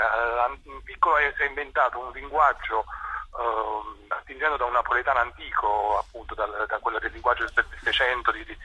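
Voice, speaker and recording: Husky guy explaining stuff in an interview, keeps restarting, tinny lo-fi sound, really piercing tone. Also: medium pitch, sharp tone. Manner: halting, repeats words while searching for phrasing. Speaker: theatre director being interviewed. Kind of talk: spontaneous interview answers. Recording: dull, tinny radio or telephone sound.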